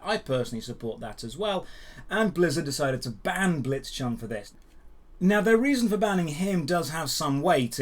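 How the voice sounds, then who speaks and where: A man hosting a news show, giving opinionated commentary straight to viewers with emphatic phrasing and dramatic pauses. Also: fairly high pitch. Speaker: host of a daily gaming news show.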